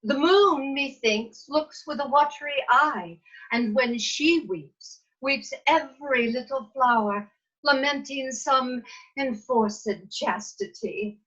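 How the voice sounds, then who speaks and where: Woman as a doting, commanding fairy queen, slow stately verse, high voice, table read, dull video-call sound. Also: fairly bright tone, heavily acted. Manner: theatrical, measured verse delivery. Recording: muffled video call audio.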